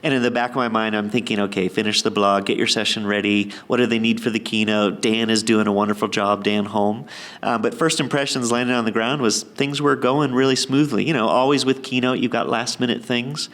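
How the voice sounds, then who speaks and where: A man's voice: mid-range voice, a bit bright. A man hosting a podcast live at a conference, friendly and chatty. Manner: friendly, chatty, laughs easily. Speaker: podcast host. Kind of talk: casual podcast chat.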